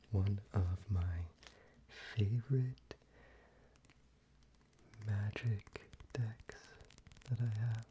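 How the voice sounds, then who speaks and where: A man speaking very softly in a breathy, halting near-whisper, gently presenting things as an ASMR video host. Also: fairly low pitch, highs cut off, slight background noise. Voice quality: soft, breathy, largely whispered. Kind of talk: soft-spoken ASMR, showing a card collection.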